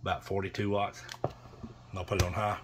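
Low-pitched man with background noise. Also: flat delivery, a little fast. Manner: rambling, matter-of-fact. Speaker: seller demonstrating used radio equipment. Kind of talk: casual product demonstration. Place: small indoor room. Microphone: phone held near the radio. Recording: clear background noise, handheld phone.

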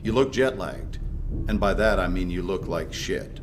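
A man playing a sardonic, controlled government agent leading an interrogation in a video game, speaking in a measured, probing way. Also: sits low, noisy background, natural voice. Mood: dry, wry. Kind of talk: naturalistic video game acting.

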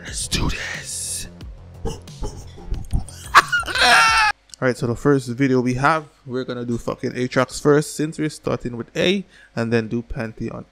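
Guy in his 30s doing excited reaction commentary, swears nonstop, slangy, repeats himself, bit of music around. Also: mid-range voice, moderate pace, hardly any pauses. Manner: animated, swearing constantly, slangy, repetitive. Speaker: reaction video host. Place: small furnished room at home. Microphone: close desk microphone on boom arm.

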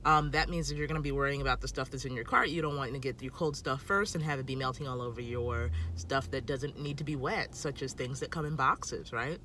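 A woman's voice: low voice, sharp tone, slight background noise. A woman in her thirties giving casual tips to camera from inside a parked car, emphatic and talking with her hands, filmed on a phone at arm's length. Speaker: food vlogger.

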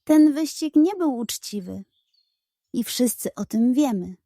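A woman voicing a stern, upright male horse sheriff in a children's Western story, grave and measured. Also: on the high side, animated, warm tone, no room sound, clean studio sound.

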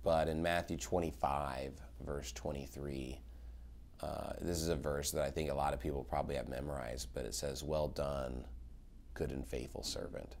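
Male pastor in his thirties, extremely low voice, interviewer asking careful questions. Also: flat delivery, moderate pace, darkish tone. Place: small office, indoors.

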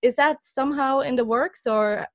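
A young woman from Belgium interviewing a musician over a video call, friendly and quick to laugh. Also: high-pitched. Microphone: laptop webcam on video call.

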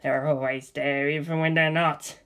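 A man giving a deadpan comedic script reading, flatly reciting the stage directions and character names. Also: fairly high pitch, normal speed, clear, resonant tone. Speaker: script reader.

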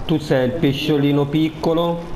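A man's voice: fairly high pitch, fairly even intonation, moderate pace, crowd noise, low-quality recording. A man arguing casually in an online roleplay game. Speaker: player in a GTA roleplay session. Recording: faint background noise.

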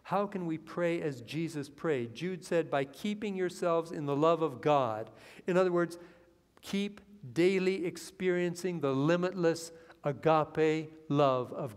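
A man in his fifties preaching a sermon from a church pulpit, earnest and rising into excitement as he reads scripture. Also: a little high, a little slow. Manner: earnest preaching that swells with excitement, lines read from the Bible. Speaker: pastor. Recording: long hall reverb in a church.

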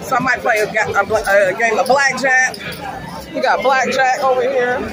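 A woman in her forties vlogging casually to her phone camera aboard a cruise ship, lively and chatty, calling her viewers "y'all.". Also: a little high, normal speed. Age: 40s. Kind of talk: casual vlog chat to camera. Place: cruise ship restaurant, club and casino, indoors. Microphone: phone camera held at arm's length. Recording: phone video with loud music and crowd. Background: loud music and crowd chatter.

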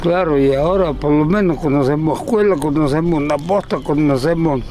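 Elderly rural southern Chilean male, slightly high pitch, casual interview answers, light music behind. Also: a little fast, mellow. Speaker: island resident. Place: outdoors on a rural dirt road. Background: faint music.

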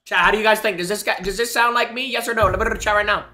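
A young man streaming a game on a headset, talking excitedly to his chat and yelling at big moments. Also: sits high, racing. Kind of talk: live game stream commentary. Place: small room indoors. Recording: game gunfire in background.

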